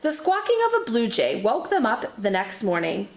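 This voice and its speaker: A woman teacher reading a children's picture book aloud in an expressive storytelling manner. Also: fairly high pitch, moderate pace. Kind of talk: children's read-aloud narration. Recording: echoey room.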